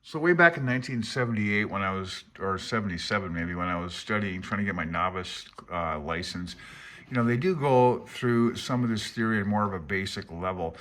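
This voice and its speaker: Man in his sixties, low voice, laid-back, thinking aloud, informal hobbyist walkthrough, reminiscing. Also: animated, fairly quick, a bit bright. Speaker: amateur radio hobbyist presenting a handbook. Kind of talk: casual rambling commentary while paging through a book.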